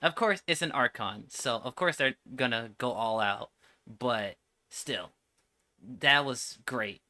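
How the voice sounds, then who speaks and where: A man gives casual reaction commentary as host, blunt and given to repeating himself. His voice is very bright and forceful, and he takes his time. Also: medium pitch, slow pace.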